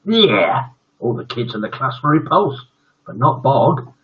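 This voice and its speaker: A man's voice: medium pitch, lively intonation, normal speed, breathy edge. A British man in his seventies hosting a bedtime story stream, reading tales and chatting casually. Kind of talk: storytelling and casual livestream chat. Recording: dull lo-fi livestream audio.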